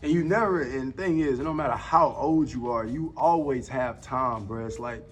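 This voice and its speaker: A man's voice: medium pitch, normal speed, soft background music. Laid-back young man, slangy host. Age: 20s. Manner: laid-back slang, calls people "bro" and "dog". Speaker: podcast host. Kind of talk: casual chat.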